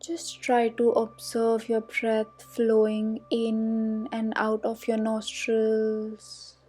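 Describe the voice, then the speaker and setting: Very slow, soft-toned woman guiding meditation. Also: on the high side. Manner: calm, soothing, unhurried instruction with long pauses. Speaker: meditation guide. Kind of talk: guided meditation. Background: soft meditation music.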